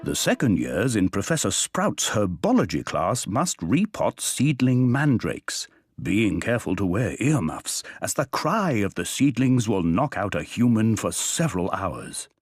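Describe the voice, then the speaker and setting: A man's voice: on the low side, sing-song, a little slow. A man narrating a wizard tale for a video game in a scripted storybook style. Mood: measured storytelling. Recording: light music behind the voice.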